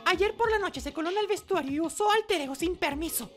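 A man's voice: extremely high pitch, quick pace, a bit bright, soft background music, voice pushed up. A man voicing a stern, composed teenage girl in a cool, matter-of-fact way. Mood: cool reproach.

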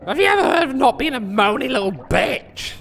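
A sharp, crackly little gnome, greedy and mocking, in a comic fantasy audio play. He swears crudely in a forceful voice. It sounds echoey and a little noisy. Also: very high voice, very animated, a little fast, vocal fry, full character voice. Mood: sarcastic. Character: foul-mouthed, greedy, scheming little male gnome. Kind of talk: comedic fantasy audio drama. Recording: echoey reverberant room with some noise.

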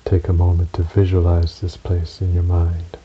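A man narrating a guided relaxation meditation in a soothing, measured way, with long pauses between short phrases. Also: sits low, completely flat delivery, breathy edge. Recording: dull recording with audible hiss.

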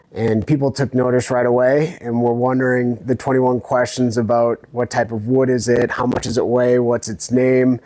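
A man's voice: medium pitch, darkish tone, no room sound. A man in his thirties from New Hampshire, giving conversational interview answers into a clip-on mic in a small room. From New Hampshire, United States. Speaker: interview guest, thru-hiker and army veteran. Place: small hotel room, indoors. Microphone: clip-on lavalier on the chest.

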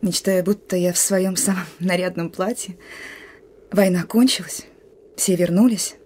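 A woman's voice: on the low side, steady tone, measured pace, darkish tone, slight background noise, lightly acted. A woman acting an emotive young partisan girl. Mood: dreamy, yearning. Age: young adult. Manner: blunt, colloquial, emotive. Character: young female partisan sentry, gruff yet dreamy and yearning. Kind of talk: Russian radio drama acting.

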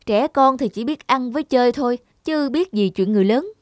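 A woman's voice: a little high, a little fast, lightly acted. A woman voicing an irritable, self-important husband and father in a cartoon, blunt and dismissive. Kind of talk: cartoon dubbing.

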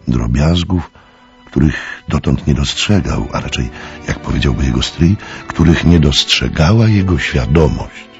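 A man's voice: rumbling low, vocal fry, darkish tone. A man narrating an audio drama in a dramatic, scripted storytelling style. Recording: slightly muffled, music underneath. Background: music.

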